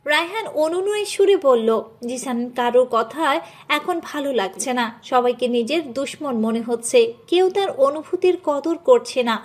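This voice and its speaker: Female narrator for a scripted romantic drama audio story, notably high voice. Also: expressive, normal speed.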